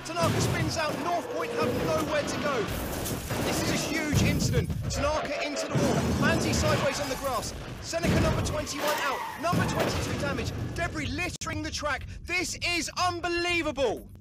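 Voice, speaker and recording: An actress playing an excitable race commentator, giving urgent live motorsport calls. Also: female voice, sits high, moderate pace, natural voice. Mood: alarmed, excited. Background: loud music and race cars.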